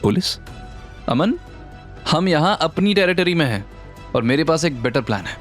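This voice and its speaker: A man's voice: on the high side, animated, moderate pace, soft background music, voice pushed up, natural voice. A man voicing a confident, scheming businesswoman in an audio drama, commanding and challenging, mixing English into the talk. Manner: challenging, code-switches into English.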